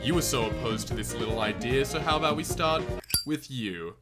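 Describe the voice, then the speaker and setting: A man's voice: mid-range voice, brisk, loud music behind the voice, close to an everyday voice. A man playing a paranoid, domineering company boss in a comedy sketch, with a melodramatic, hard-boiled interrogating manner. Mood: domineering, impatient.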